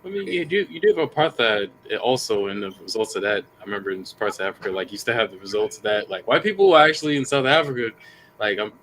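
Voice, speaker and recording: A man hosting a livestream panel, talking in a rambling, animated way and swearing casually. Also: on the low side, fast, slight background noise, rough recording. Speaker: livestream host.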